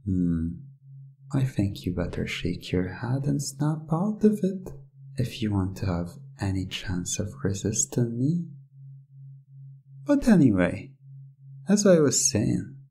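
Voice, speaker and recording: Male actor as smug hypnotist villain for ASMR roleplay; husky, soft delivery with swooping, theatrical, drawn-out phrasing. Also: lowish voice, exaggerated voice. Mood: hypnotic, taunting. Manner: slow, deliberate, theatrical, condescending, with drawn-out words. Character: smug, menacing male gem owner, hypnotically taunting a caught thief.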